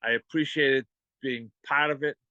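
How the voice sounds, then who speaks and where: Male call participant, Boston accent, medium pitch, informal halting storyteller, very little room sound. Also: fairly even intonation, pushed and bright, no echo, dull audio. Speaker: meeting participant giving a testimonial. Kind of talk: casual personal storytelling. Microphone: video call.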